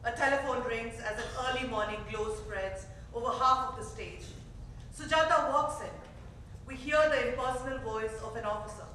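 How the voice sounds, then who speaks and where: A woman's voice: a little high, normal speed, bright, forceful tone, slight background noise. A woman reading scripted stage directions as narrator at a staged reading. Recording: echoey theater, distant mic.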